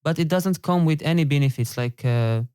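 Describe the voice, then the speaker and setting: Halting man, English speaker. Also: on the high side, darkish tone, clean tone, dry recording, studio quality. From Turkey. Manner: halting, many fillers and restarts. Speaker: podcast guest. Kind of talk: casual discussion.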